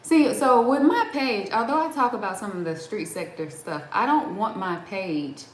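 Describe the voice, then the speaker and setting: A woman's voice: mid-range voice, normal speed. A middle-aged woman chats on a home livestream, reading viewer comments and answering them as she goes. She laughs often and breaks off mid-sentence to start over. Her voice rings with room echo, and a faint hum of ambience sits behind it. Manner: chatty, laughs often, trails off and restarts. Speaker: livestream host. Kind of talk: casual live chat reading viewer comments.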